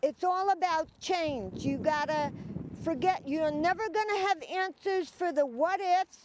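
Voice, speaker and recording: An older woman with a New York accent, a school crossing guard talking loudly and bossily outdoors by a road. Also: extremely high pitch, a little slow, slight background noise, poor audio. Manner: loud, bossy, lively. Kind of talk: interview and calls to children.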